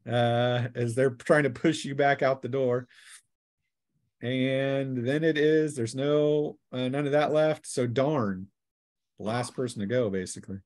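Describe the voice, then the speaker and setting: A man's voice: medium pitch, fairly even intonation, a little slow, darkish tone, no echo. A man acting as game master, narrating a tabletop game in a playful, colloquial way. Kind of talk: casual tabletop game narration with acted sound effects.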